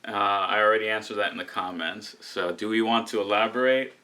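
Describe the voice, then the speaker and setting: A man's voice: lowish voice, fairly even intonation, a little fast, sharp tone. A young American man co-hosting a casual live stream and teasing his partner. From United States. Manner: teasing, joking. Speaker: co-host.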